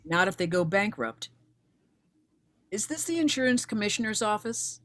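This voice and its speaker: A woman's voice: mid-range voice, lively intonation, moderate pace, fairly bright tone, lightly acted. A woman acting as a determined, blunt female investigator in a screenplay table read. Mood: focused, urgent. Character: defiant, determined recently widowed female investigator, former accountant.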